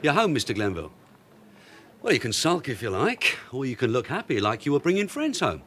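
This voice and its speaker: An actor playing a shrewd, middle-aged police sergeant in a radio drama, dry, sarcastic and bossy. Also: male voice, mid-range voice, very animated, brisk, fairly bright tone, a little hiss or hum. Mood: dry, commanding. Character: shrewd, sardonic veteran London detective sergeant. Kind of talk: naturalistic radio drama acting.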